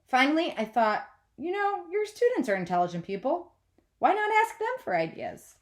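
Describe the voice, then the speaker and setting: A woman's voice: high-pitched, big pitch swings, normal speed, voice pushed up. A woman voicing an earnest, encouraging male art teacher explaining things to a class, in a storybook read-aloud. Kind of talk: children's novel read-aloud.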